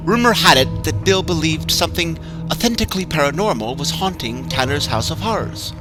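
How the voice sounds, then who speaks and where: A man giving a measured, dramatic reading of a horror story. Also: a little high, animated. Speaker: narrator. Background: music.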